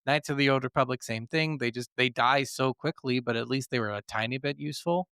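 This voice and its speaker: A man's voice: mid-range voice, fairly quick, fairly bright tone, clear, resonant tone, no room sound, clean studio sound. A man co-hosting a casual gaming podcast, talking bluntly with plenty of swearing and complaint. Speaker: podcast co-host.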